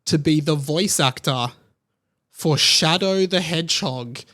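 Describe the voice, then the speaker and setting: A man's voice: fairly high pitch, takes it slowly, sharp tone. A man hosting a podcast, talking casually and emphatically in an informal, gushing fan style. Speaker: podcast host. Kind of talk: casual opinionated podcast monologue about pop culture.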